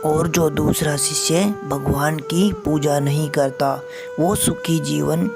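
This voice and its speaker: A woman voicing a wise, solemn eagle guru who preaches patiently in an animated moral story. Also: low-pitched, creaky, heavily acted. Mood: solemn, instructive. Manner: measured, preaching. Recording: music behind the voice.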